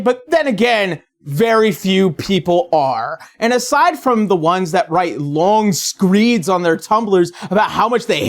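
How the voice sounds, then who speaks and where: A sarcastic video essay narrator with a very animated delivery. The pitch moves up and down expressively, and the scripted commentary is played theatrically for comedy. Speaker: video essayist, channel host.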